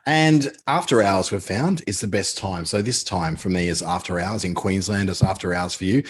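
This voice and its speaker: A man's voice: deep voice, moderate pace, husky. A man with an Australian accent who clips the ends of his words, teaching a live webinar in a chatty, filler-laden way. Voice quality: clipped word endings. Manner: chatty, with frequent ums and uhs. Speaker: webinar presenter.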